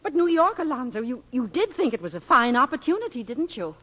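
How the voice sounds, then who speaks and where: An actress playing a gentle, pleading wife and mother in an earnest, fluttery 1940s radio style. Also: high-pitched, sing-song, fairly quick, full character voice. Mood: anxious, soothing.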